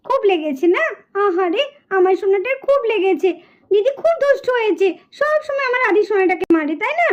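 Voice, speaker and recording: A woman's voice: very high voice, expressive, fast, a bit bright, highs cut off. A woman voicing a mother who scolds her daughter and dotes on her son. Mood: comforting. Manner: scolding, coaxing. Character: mother Pushpa, scolding but doting.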